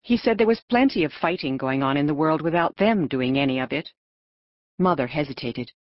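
A woman's voice: low voice, animated, fairly quick, no room sound. A woman narrating a children's novel from a script as an audiobook reader. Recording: dull, narrow lo-fi audio.

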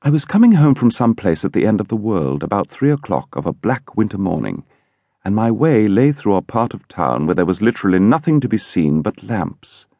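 A man playing an indignant English gentleman who recounts a horrible incident in a vivid, emphatic way, as audiobook storytelling. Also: fairly low pitch, sing-song, a little fast, no room sound. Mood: musing, eerie.

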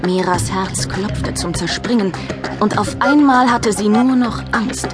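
A woman narrates a children's audiobook in a scripted storytelling style. Her voice is mid-pitched, with music clearly playing behind her. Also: a little slow.

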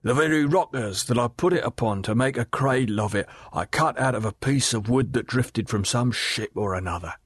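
A man's voice: medium pitch, normal speed, hardly any pauses, slightly rough, dry recording, clean studio sound, lightly acted. A man voicing a surly, blunt older boatman who is a father.

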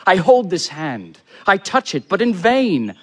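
Young gentleman suitor for verse comedy: ardent, flowery, fairly high voice with sweeping intonation. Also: very animated, a little slow, full character voice. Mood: ardent, pleading. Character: eager young gentleman, a smooth-talking romantic. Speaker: actor. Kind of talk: theatrical verse comedy performance.

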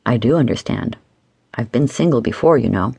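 Woman voicing an easygoing, low-pitched flirty man. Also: lively intonation, moderate pace, vocal fry, dark tone, slightly rough, heavily acted. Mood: amused, wry. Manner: low, wry teasing.

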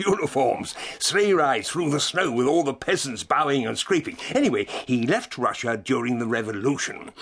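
An actor playing an eccentric, blustering old English gentleman professor in a radio drama, with a grandiose, old-fashioned way of talking. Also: medium pitch, husky. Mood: anecdotal, brisk. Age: older. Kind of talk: full-cast radio dramatisation.

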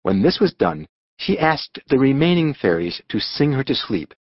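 A man reads scripted storybook narration expressively. His voice is husky and moves with lively intonation. The recording is dry and slightly dull. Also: mid-range voice, moderate pace, dry recording. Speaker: audiobook narrator.

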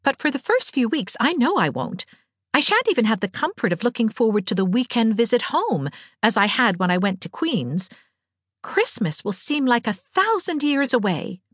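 Storybook eighteen-year-old girl voiced by a female reader: bright-spirited, whimsical, high-pitched, very expressive swings. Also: normal speed, dry recording, studio quality. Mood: wistful. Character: cheerful, fanciful eighteen-year-old girl, playful and talkative. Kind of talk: audiobook character dialogue.

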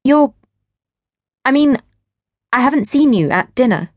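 A woman's voice: high voice, lively intonation, measured pace, dry recording, voice pushed up. A woman voicing a shy, flustered young newlywed in an audiobook novel, polite and hesitant in her phrasing. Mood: awkward.

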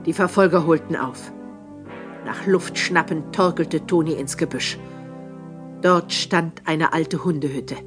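Calm male audio drama narrator. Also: a little high, unhurried, over music. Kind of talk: scripted narration.

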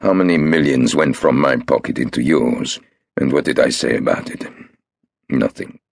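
A man voicing a sick, ruthless old male tycoon who speaks in a cold, measured way. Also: extremely low pitch, vocal fry, no echo, studio quality, pitched below the performer's own voice, full character voice.

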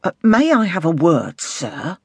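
A man plays a grumpy male police sergeant in an audiobook. He is curt, gruff and condescending, talking down to juniors, and the sound is close and dry. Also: high voice, animated, measured pace, dry recording, voice pushed up, heavily acted. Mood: indignant. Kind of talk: audiobook character dialogue.

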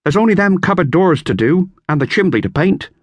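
Man reading a boy with a burr, apologetic and mumbling, pitched high, for a storybook. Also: animated, a little fast, no room sound. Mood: sheepish. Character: young boy Pete, eager and sheepish. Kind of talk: audiobook character voice.

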